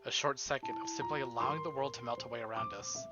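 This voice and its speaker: A man reading as the earnest, tender first-person narrator of a romantic visual novel, soft and measured. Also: medium pitch, close to an everyday voice. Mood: tender, intimate. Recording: dull lo-fi stream audio under music.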